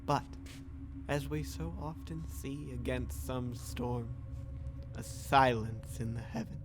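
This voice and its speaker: A man's voice: mid-range voice, takes it slowly, music in the background, natural voice. A young man playing a travelling player who declaims tragic verse in a grandiose, solemn Shakespearean manner. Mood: suspenseful. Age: young adult.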